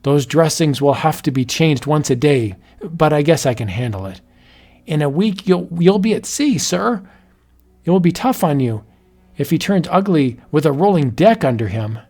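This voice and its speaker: A man's voice: on the high side, animated, moderate pace, a little mellow. A man voicing a rugged, skeptical hero who turns brave in a read-aloud horror story. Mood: matter-of-fact.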